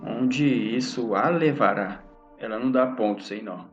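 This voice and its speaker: A man plays a noble adventurer in a tabletop fantasy tale. He talks formally and questioningly at an ordinary male pitch. The sound is slightly muffled, with light music behind. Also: normal speed, natural voice. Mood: pondering.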